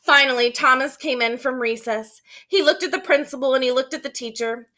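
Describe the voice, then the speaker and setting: A woman with a high, bright voice reads a picture book aloud like a schoolteacher. She is expressive and emphatic, stopping to explain things to children. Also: a little fast. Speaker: teacher reading aloud to children. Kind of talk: animated children's storybook read-aloud.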